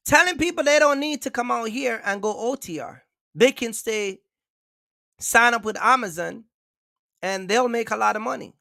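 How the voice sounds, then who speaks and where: Bright-voiced man ranting on a livestream. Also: very high-pitched, normal speed, gravelly, dry recording, clean studio sound. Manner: emphatic, repetitive, keeps asking viewers for likes. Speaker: trucking channel host. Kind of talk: casual livestream rant.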